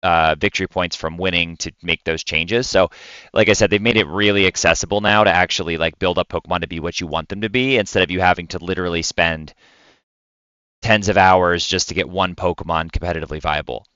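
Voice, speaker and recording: A man with a deep, bright voice co-hosts a casual gaming podcast. He explains things with enthusiasm, and his delivery is crisp and clean, recorded close and dry. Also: steady tone, a little fast, no room sound, highs cut off. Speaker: podcast co-host. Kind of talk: casual podcast chat about video games.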